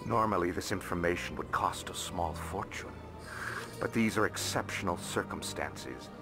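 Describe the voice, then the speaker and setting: Very low-voiced, courteous alien broker. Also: male voice, normal speed, vocal fry. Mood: confiding. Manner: polite, formal, knowing. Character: shrewd, courteous alien financial advisor and information agent. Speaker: financial advisor. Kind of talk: video game character acting. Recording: game music behind the voice.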